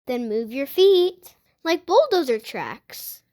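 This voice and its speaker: A child host reading a picture book aloud for young listeners, addressing them directly in an excited way. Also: high voice, takes it slowly. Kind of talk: storybook read-aloud with enthusiastic channel intro and sign-off.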